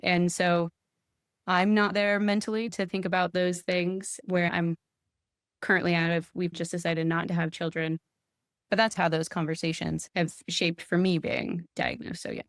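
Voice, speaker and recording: A young adult woman speaking reflectively and in a measured way about her own life on a panel. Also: on the low side, little pitch movement, dry recording, studio quality. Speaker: panelist, cancer patient. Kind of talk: personal testimony in a panel discussion.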